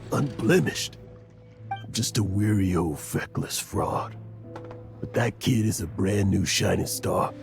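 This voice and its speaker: An actor playing a weary, guilt-ridden old adventurer in a game, with halting, heavy-hearted, emotional delivery. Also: male voice, fairly low pitch, vocal fry, exaggerated voice. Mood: regretful, weary. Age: older. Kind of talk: dramatic game voice acting.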